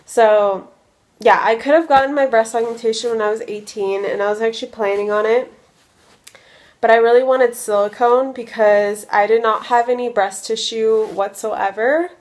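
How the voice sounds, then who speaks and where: A woman's voice: fairly high pitch, fairly even intonation, moderate pace. Loopy, rambling young woman vlogging. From United States. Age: 20s. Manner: rambling, lots of likes and ums, a bit loopy. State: drowsy from medication. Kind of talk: casual vlog chat.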